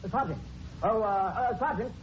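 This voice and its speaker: An actor as an eager, high-voiced young newspaperman. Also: moderate pace, lightly acted. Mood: probing. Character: eager, inquisitive young male newspaper reporter. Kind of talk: 1940s radio drama acting. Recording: muffled 1940s radio recording with music.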